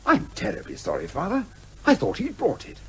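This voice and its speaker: A polite young Englishman, a dutiful son in radio comedy. His voice is fairly high and swings very widely in pitch. He sounds hesitant and apologetic, with faint background noise. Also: wildly swooping pitch, a little fast, fairly bright tone, heavily acted. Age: young adult. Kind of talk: radio comedy drama acting.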